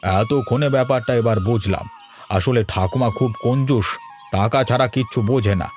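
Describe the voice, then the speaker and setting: A man's voice: mid-range voice, moderate pace, a little mellow. A man voicing cartoon dialogue in a conversational, explanatory way. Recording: music behind the voice.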